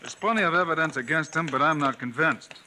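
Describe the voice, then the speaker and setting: A man's voice: fairly high pitch, quick pace, bright, forceful tone, slight background noise. A firm frontier lawman. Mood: commanding. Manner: firm, clipped commands. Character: steady male frontier lawman, calm leader. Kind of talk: 1950s radio western acting.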